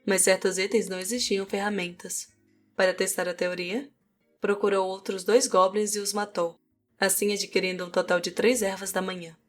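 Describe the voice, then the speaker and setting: A woman's voice: medium pitch, flat delivery, moderate pace. A Brazilian woman reading scripted narration for a fantasy audiobook. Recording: music behind the voice. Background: music.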